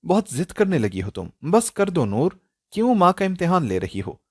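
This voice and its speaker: A man's voice: high voice, sing-song, moderate pace, husky, no room sound, clean studio sound, voice pushed up, heavily acted. A man voicing a strict, controlling middle-aged mother in a curt, commanding manner, as in an audio story. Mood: stern, angry.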